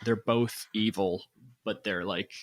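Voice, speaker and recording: Lowish voiced guy explaining stuff, blunt, sweary, chatty, dry recording. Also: fairly even intonation, unhurried, no echo. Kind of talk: casual discussion.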